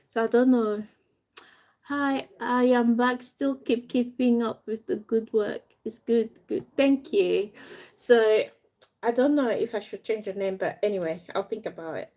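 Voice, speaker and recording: A young woman from Africa talks on a live stream in a loose, rambling way. She breaks off now and then to thank viewers. Her voice sits at an ordinary middle pitch for a woman. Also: darkish tone. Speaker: doll maker and fashion designer.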